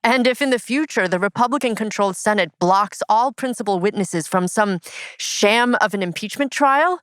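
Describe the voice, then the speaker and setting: A woman's voice: medium pitch, normal speed, fairly bright tone, no echo, studio quality. A woman delivering scripted lines from a stage play. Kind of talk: dramatic line reading from a play.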